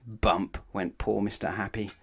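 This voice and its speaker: A man's voice: fairly low pitch, steady tone, a little slow, gravelly. A man reading a children's story aloud as narrator, expressively and with dramatic emphasis. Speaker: storybook reader.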